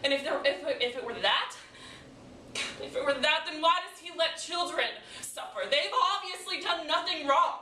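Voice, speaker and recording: Echoey room, faint noise, woman playing grieving daughter, stage monologue, bitter questioning of God, dramatic pauses. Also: high-pitched, lively intonation, pitched above the performer's own voice. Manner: deliberate, dramatic pauses, swelling from hushed to pleading. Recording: echoey room, distant mic, background noise.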